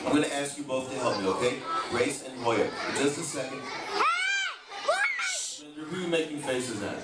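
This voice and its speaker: A man's voice: medium pitch, huge pitch swings, normal speed, a loud crowd. A man working as a live comedy straight man, giving short, insistent instructions as a stage ventriloquist. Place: large indoor room with live audience. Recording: echoey noisy hall, distant mic, audience.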